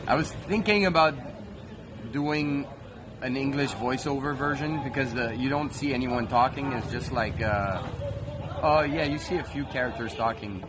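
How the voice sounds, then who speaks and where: A man's voice: medium pitch, moderate pace. A man with a light Quebec French accent, chatting casually on a live stream, rambling and correcting himself. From French Canada. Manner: rambling, many restarts and self-corrections. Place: busy city street outdoors. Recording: loud street crowd and traffic.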